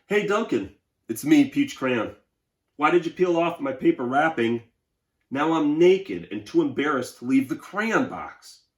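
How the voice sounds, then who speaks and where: A man's voice: fairly high pitch, sing-song, a little slow, reverberant, pitched above the performer's own voice. A man voicing an embarrassed, upset little crayon, whiny and pleading, in a children's storybook read-aloud.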